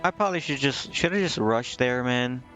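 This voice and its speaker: A man streaming a video game, casually thinking out loud and reading menu text to himself, with some offhand swearing. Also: mid-range voice, animated, moderate pace, soft background music, low-quality recording. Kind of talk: casual live gameplay commentary, muttering and reading menu text aloud.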